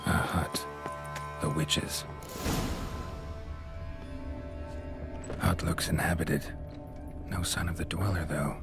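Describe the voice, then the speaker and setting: Gruff, laconic hunter for a fantasy game, low gravelly mutter, deadpan, heavy score underneath. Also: male voice, a little slow, full character voice. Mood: muttering, observant. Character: stoic, gruff male monster hunter examining clues. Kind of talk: video game character acting. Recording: game music behind the voice. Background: loud game music.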